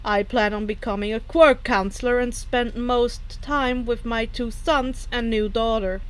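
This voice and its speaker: A woman voicing a reformed male supervillain and father in a story, calm, sincere and formal. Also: on the high side, measured pace, lightly acted, poor audio.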